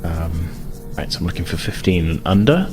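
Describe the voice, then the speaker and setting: A low male voice runs a tabletop role-playing game over a music bed. He narrates as he improvises, feeling his way tentatively through scenes and dice rules. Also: animated, moderate pace. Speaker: game master. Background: music under the voice.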